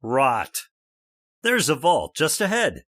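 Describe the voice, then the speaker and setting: A man's voice: fairly high pitch, wildly swooping pitch, slow pace, no echo, clean studio sound, exaggerated voice. An actor playing a stubborn, scoffing middle-aged father with a brash manner, in old-time radio drama style. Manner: brash, scoffing, loud laughs.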